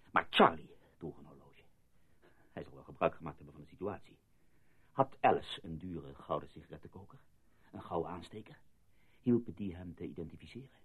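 Husky, defiant, distraught male patient. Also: sits low. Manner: sarcastic, accusing, insistent. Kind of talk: radio drama acting. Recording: muffled lo-fi old radio recording.

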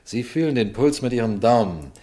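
An actor playing a shrewd, composed male police detective in a 1960 radio crime drama, measured, dry and politely superior. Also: medium pitch, expressive, warm tone, natural voice. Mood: dryly instructive. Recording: vintage 1960 radio recording.

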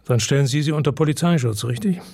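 Radio drama male lead: unhappy, vengeful loner, mellow rough-edged voice, terse and guarded delivery. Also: fairly high pitch, fast talker. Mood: bitter, weary. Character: world-weary, unhappy, distrustful man bent on revenge. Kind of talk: German radio drama acting.

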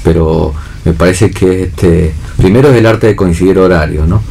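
A man's voice: low voice, moderate pace, vocal fry, mellow. An Argentine man speaking as a theatre director in a casual radio discussion, reflective and often checking in with short tag questions. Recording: noisy radio studio recording.

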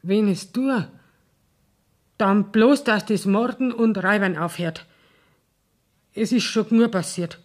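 Radio play: shrewd, blunt Bavarian mother, earthy dialect, mid-pitched voice, always after the money. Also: slow, pauses often. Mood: wary, grudging. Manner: blunt, colloquial.

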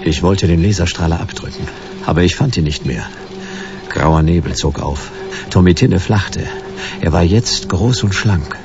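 An actor playing a laconic hard-boiled private detective in a German radio drama, dry and clipped. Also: male voice, sits low, highs cut off. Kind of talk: first-person noir narration and dialogue in radio drama.

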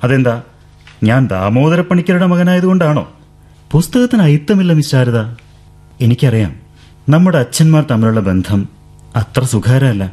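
An actor voices a bookish young gentleman with a gentle, teasing way of speaking, chuckling easily. His pitch moves freely and expressively. The scene plays like an old radio drama. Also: expressive, moderate pace, long pauses, close to an everyday voice. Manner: soft-spoken, playful, with light chuckles. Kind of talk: 1970s-style radio drama acting.

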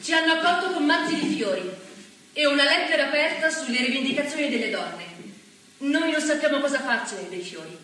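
A woman's voice: high-pitched, expressive, brisk, bright, forceful tone. A woman declaiming proudly and indignantly, recorded from far off on a very echoey stage. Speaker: stage actress. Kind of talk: theatrical declamation.

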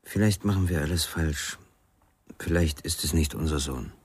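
An actor playing a weary, evasive father in a radio crime drama, measured, cryptic and laconic. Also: extremely low pitch, husky, exaggerated voice. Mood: resigned. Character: enigmatic, weary, evasive father searching for his son.

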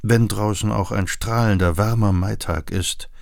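A man reading literary narration for a radio play in a measured, deliberate manner. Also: fairly low pitch, fairly even intonation, gravelly, no echo, studio quality.

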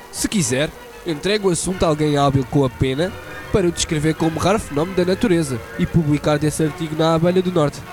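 A guy doing a pompous newspaper clerk, quick talker, high lively voice, music behind. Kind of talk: student radio drama.

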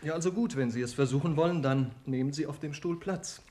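An actor playing a brisk, precise technical scientist in a 1950s radio drama, speaking in an explanatory manner. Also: male voice, on the high side, warm tone, close to an everyday voice. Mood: matter-of-fact.

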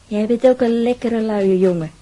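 A woman's voice: a little high, normal speed, warm tone, lightly acted. An actress playing a bossy, teasing woman of the household in a radio drama, with a Netherlands Dutch accent. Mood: teasing, brisk.

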